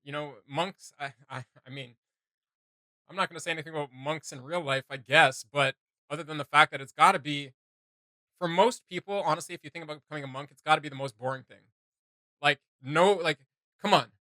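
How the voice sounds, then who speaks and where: A man's voice: on the high side, a little fast, bright, forceful tone, no room sound. A man hosting a reaction video with casual, off-the-cuff commentary, blunt and sarcastic as he rambles.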